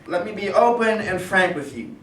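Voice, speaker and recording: A man acts out a well-born industrialist in a stage play reading, polite on top and menacing underneath. His speech is formal and measured. He is heard from a distance in a noisy, reverberant room. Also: high voice, animated, a bit bright. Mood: persuasive. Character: smooth, pressuring well-born steel company man, veiled threats.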